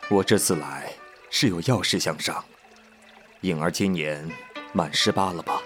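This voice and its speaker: A man's voice: deep voice, measured pace, music in the background. A man playing a courteous middle-aged father of a noble household in an amateur Chinese costume audio drama, polite and formal. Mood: courteous, businesslike.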